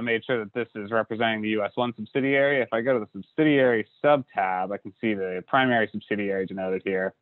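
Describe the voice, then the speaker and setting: A man giving a relaxed screen-recorded software walkthrough, with lots of uh and you know. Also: fairly low pitch, dry recording. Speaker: software instructor. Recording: dry tinny lo-fi audio.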